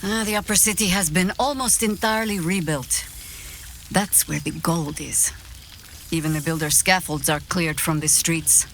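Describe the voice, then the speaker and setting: An actress playing a middle-aged, seasoned adventurer in a video game, gruffly fond and dryly amused, with a deliberate theatrical delivery. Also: female voice, fairly low pitch, fairly even intonation, fairly bright tone, slight background noise. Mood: wistful, wry. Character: seasoned wry woman adventurer with grown children, gruffly fond. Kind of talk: video game dialogue acting.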